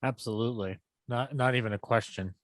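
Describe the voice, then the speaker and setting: A man's voice: medium pitch, fairly quick, dry recording. A man chatting casually on a video call, giving appreciative comments in an effusive, conversational way.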